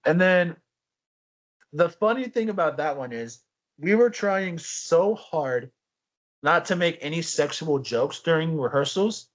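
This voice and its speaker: A young man co-hosting a casual podcast, telling stories in a rambling, animated way with frequent restarts. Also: a little high, a little slow, low-quality recording. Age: young adult. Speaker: podcast co-host. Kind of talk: casual chat.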